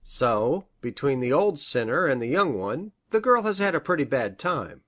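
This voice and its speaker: Gossipy country doctor, swooping intonation. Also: male voice, mid-range voice, sing-song, normal speed, fairly bright tone. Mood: confiding. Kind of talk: radio mystery drama acting.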